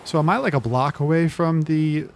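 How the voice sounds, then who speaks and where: A man playing a tabletop fantasy game, casually thinking aloud in halting phrases as his character. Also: fairly high pitch. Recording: clearly noisy recording.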